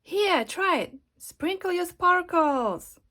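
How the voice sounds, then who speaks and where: A woman's voice: very high-pitched, lively intonation, slow pace, sharp tone, voice pushed up, exaggerated voice. A woman voicing a helpful, modest female bottle of glue in a friendly, earnest way for a children's storybook. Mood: eager.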